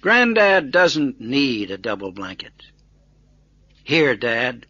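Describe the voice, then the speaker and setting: An earnest young storybook boy, acted by a male narrator, bold and pointed. The delivery is animated and forceful, with deliberate insistence, on a dry, slightly dull recording. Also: medium pitch, no echo, heavily acted. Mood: firm.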